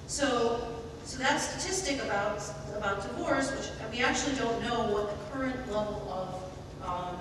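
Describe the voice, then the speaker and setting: A woman with a loud voice giving an informal lecture as a guest speaker, talking conversationally with frequent restarts. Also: on the high side. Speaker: guest lecturer, website editor. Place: college lecture room. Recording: echoey hall recorded from far off, noisy.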